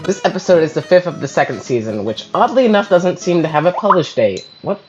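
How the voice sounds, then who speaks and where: A woman's voice: on the low side, sing-song, fast, muffled recording, music in the background. A woman hosting a video review, reading scripted narration with casual asides. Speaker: host of a video review show.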